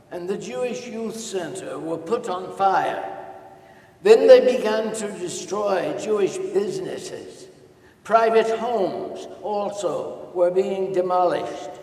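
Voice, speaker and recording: Male reader as young diarist, high voice, very slow deliberate account of loss, staged reading in a reverberant hall. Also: a little mellow. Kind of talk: dramatic reading of a diary. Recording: distant mic in echoey hall.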